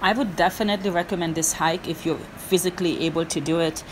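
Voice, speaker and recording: Woman, lowish voice, hiking vlog voice-over, earnest, encouraging, faint background noise. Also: steady tone, quick pace, low-quality recording. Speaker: hiking vlogger. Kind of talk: casual voice-over vlog narration.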